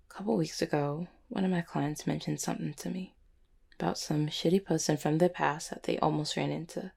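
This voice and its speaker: A woman plays an anxious, guilt-ridden cupid with a low-set, gravelly voice. The delivery is slangy and halting, full of swearing and raw feeling, in an intimate audio drama style. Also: steady tone, darkish tone, heavily acted. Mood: uneasy, subdued. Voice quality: husky, gravelly. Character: anxious, guilt-ridden cupid who helps clients, streetwise. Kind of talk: intimate audio roleplay acting.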